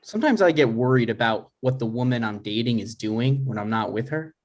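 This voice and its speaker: A man playing a neurotic, animated grown son. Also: mid-range voice, fairly quick, lightly acted. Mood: anxious, dry. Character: neurotic, touchy 36-year-old son. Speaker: writer and actor in a table read. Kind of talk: scripted dialogue, comedy table read.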